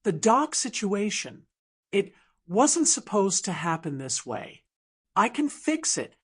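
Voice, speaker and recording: A woman's voice: mid-range voice, sing-song, slow pace, slightly rough, full character voice. A woman voicing a guarded, earnest businessman in his sixties in an audiobook drama, cool and restrained. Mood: earnest, pleading.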